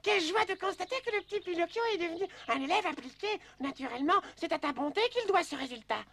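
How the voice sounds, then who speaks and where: A woman voicing a chatty, preachy bird in a dubbed cartoon, creaky and sharp, with an exclamatory, moralizing way of talking. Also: extremely high pitch, expressive, fast, exaggerated voice. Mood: cheerful, sly.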